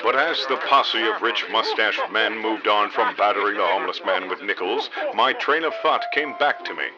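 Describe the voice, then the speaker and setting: Man playing low-voiced hapless noir detective, muffled over music. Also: moderate pace, close to an everyday voice. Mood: brooding narration. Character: hapless novice male private detective, deadpan, dim and dreamy. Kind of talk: parody noir radio drama narration. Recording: dull lo-fi audio under loud music.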